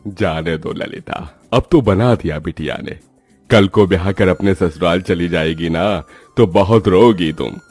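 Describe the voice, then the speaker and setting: Man as a deep, husky village father. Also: measured pace, a little mellow, no room sound, faint music behind. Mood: soothing. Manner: soothing, placating. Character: mild, kindly village father. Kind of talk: Hindi cartoon moral story voice.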